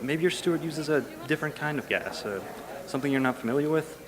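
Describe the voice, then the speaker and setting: A man's voice: on the high side, lively intonation, fast talker, crowd noise, close to an everyday voice. Man acting a flippant, skeptical young husband. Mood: dismissive. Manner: teasing, wry, dismissive. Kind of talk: stage audio drama acting.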